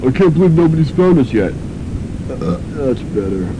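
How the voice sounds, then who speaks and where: A man playing a gruff, irritable young college athlete in an amateur radio drama. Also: very animated, moderate pace. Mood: grumbling. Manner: sarcastic, combative. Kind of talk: student radio drama acting. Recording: noisy, rumbling amateur radio recording.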